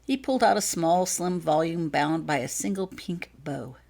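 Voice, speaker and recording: A woman reading her own story aloud as an expressive scripted narration. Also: lowish voice, moderate pace. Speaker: author reading own fan fiction.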